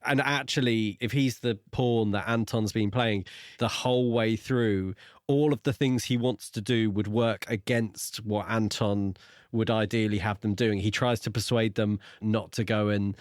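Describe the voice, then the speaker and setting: A man's voice: on the low side, fairly even intonation, measured pace, no echo, studio quality. A man co-hosting a podcast, talking casually about a book in a questioning, wryly critical way. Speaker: podcast co-host.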